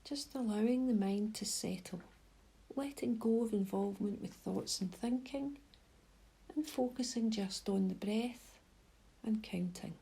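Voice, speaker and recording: A woman leading a guided meditation in a soft, breathy voice, giving gentle, unhurried instructions with pauses. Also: mid-range voice. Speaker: mindfulness guide.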